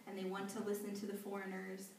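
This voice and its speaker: A young woman answering interview questions in a chatty, animated way, laughing often and leaning on casual fillers. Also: medium pitch, fast. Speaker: interviewee, volunteer back from abroad. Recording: echoey hall, distant mic, faint noise.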